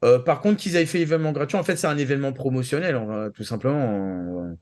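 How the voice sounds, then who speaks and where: A man's voice: medium pitch, quick pace, darkish tone. A man giving his opinion in casual, slangy chat as co-host, joking at his own expense. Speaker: show co-host and columnist.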